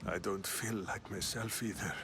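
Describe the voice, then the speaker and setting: A man's voice: on the low side, fairly even intonation, lightly acted. An actor playing a proud, ailing older statesman in a video game drama, speaking in a weary, labored manner. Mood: weak, embarrassed. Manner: slow, labored, world-weary. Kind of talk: dramatic cutscene acting. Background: prominent music.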